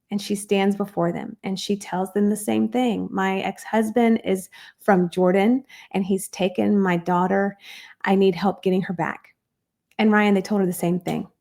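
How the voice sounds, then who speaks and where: A woman guest on a podcast, telling her life story in an earnest, animated way. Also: mid-range voice, vocal fry.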